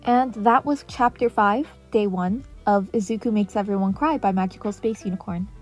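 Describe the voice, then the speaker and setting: A woman's voice: medium pitch, moderate pace. A woman reading a fan fiction story aloud as scripted narration. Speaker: audiobook reader of fan fiction. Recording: music playing under the voice.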